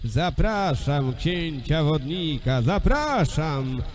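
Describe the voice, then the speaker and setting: A man's voice: fairly high pitch, big pitch swings, very slow, heavily acted. A man playing a regal, fatherly sea king in a fairy-tale audio drama, speaking in solemn, formal, old-fashioned phrases.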